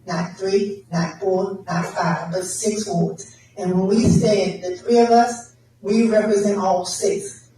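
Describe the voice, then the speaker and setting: A council member speaks at a public forum with a mellow, warm tone and an emphatic style, stacking up repeated phrases to drive points home. The voice comes from a distant mic and booms around a large echoey hall. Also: a little hiss or hum. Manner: emphatic, uses repetition. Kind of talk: candidate forum answers.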